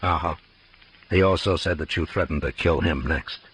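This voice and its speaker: Very deep-voiced Western marshal. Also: male voice, moderate pace, fairly bright tone, breathy edge, full character voice. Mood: stern, measured. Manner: terse, plainspoken, authoritative. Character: stoic male frontier marshal, firm, grimly weary. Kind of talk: 1950s Western radio drama acting. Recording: noisy old 1950s radio recording.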